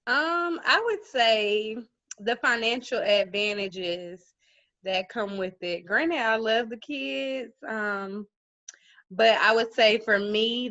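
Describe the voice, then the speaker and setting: A chatty woman from Atlanta talks in a slangy, playful way. Her voice has a middle pitch. The call audio is slightly dull and lo-fi. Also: fairly bright tone. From Atlanta, Georgia, US. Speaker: teacher. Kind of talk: casual video-call chat. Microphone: video call.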